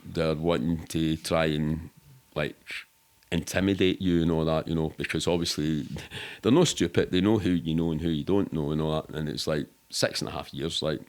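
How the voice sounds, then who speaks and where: A middle-aged man with a Glasgow-area Scottish accent telling anecdotes casually as a podcast guest, sweary and colloquial, acting out what people said. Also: low voice. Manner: sweary, colloquial, acts out quoted speech. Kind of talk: animated anecdotal storytelling, casual.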